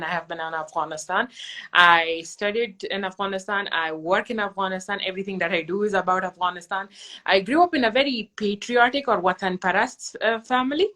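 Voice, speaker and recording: A woman's voice: medium pitch, bright, forceful tone. A young woman from Pakistan, a guest educator in a casual interview, talking fluently and earnestly. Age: young adult.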